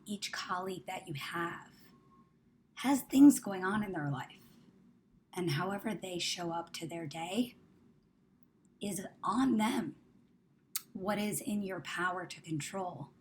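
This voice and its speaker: A woman guides a meditation in a fairly low voice at a very slow pace. Her delivery is soothing and measured, with phrase endings softening toward a whisper. Ambient noise sits faintly but noticeably behind her. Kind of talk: guided meditation, spoken to the listener. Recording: clear background ambient noise.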